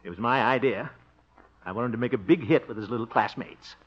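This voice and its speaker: A man's voice: mid-range voice, brisk, lightly acted. An actor playing a fussy, jovial middle-aged American man, a bumbling guardian with a chuckling, overeager manner, in 1950s radio situation comedy style. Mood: eager, proud. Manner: chuckling, overeager, blustery showman. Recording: muffled old radio recording.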